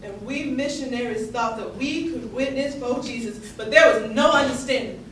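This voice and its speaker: A woman's voice: a little high, a bit bright, far from the mic, natural voice. A woman playing an earnest young enslaved woman from the American South, telling her story in a folksy, emphatic theatrical monologue with a Southern accent. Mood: hurt, earnest. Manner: folksy, emphatic, halting storytelling with dramatic swells. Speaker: stage actor. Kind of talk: theatrical monologue, 19th-century period storytelling. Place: indoor theatre stage, recorded from a distance. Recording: echoey theatre stage from afar, noisy.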